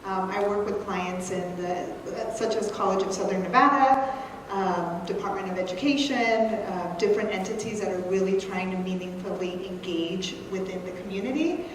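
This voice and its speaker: A woman's voice: medium pitch, normal speed. Woman from El Salvador, Spanish native, friendly, echoey hall, noticeable room noise. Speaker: marketing agency owner, panelist. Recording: roomy hall sound from far off.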